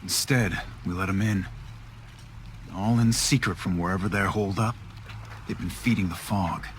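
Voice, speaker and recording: A man's voice: lowish voice, a little hiss or hum, lightly acted. A man acting a blunt, hostile and bitter islander who sells guns in a video game. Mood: bitter, grim. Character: hot-headed, bitter islander gun seller, a grown man.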